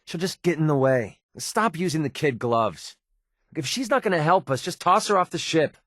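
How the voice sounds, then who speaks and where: An actor playing a wry, guarded young American mercenary companion in a video game, talking in a sardonic, conversational way. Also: male voice, a little high, lively intonation, normal speed, a bit bright, dry recording, studio quality. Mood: irritated. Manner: sardonic, conversational, hesitant asides.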